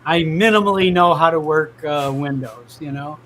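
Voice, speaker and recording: A man's voice: a little high, normal speed, a bit bright. A man co-hosting a casual podcast, laid-back and laughing along. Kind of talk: casual chat on a livestream panel.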